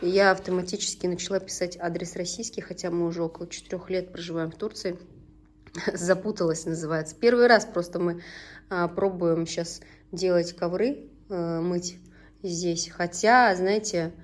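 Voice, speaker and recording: Chatty Tatar-born woman, lowish voice. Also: steady tone, normal speed. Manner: chatty and homely, often breaks off to instruct her children. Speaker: vlogger and mother. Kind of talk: casual home vlog chat while cooking with kids. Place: home kitchen.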